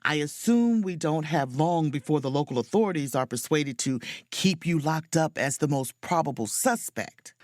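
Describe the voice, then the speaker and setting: A woman's voice: deep voice, animated, moderate pace, few pauses, a little mellow, dry recording, clean studio sound. An actress playing an inquisitive woman space officer in a romcom audio drama, speaking expressively. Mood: brisk, speculative. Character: woman space officer on holiday, keen amateur sleuth. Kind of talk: romcom audio drama dialogue and first-person narration.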